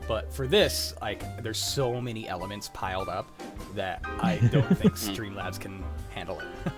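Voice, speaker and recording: A man's voice: fairly low pitch, animated, a little slow, over loud music. A young man hosting a livestream, chatting casually in a rambling, enthusiastic way. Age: young adult. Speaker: host running a livestreamed script-reading show. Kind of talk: casual group chat.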